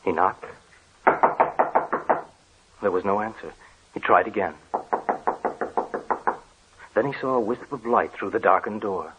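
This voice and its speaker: A man narrating an old radio horror drama in a grave, measured way. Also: fairly low pitch, very noisy.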